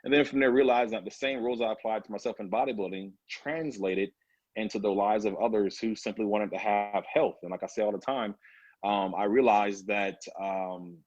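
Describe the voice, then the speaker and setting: A man in his thirties speaks as an interview guest, telling his story in a relaxed way with plenty of fillers. His voice sits low and is recorded dry, with no room sound. The audio is slightly dull and lo-fi. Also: fairly quick, no echo. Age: 30s. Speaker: guest, personal trainer. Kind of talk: casual interview talk.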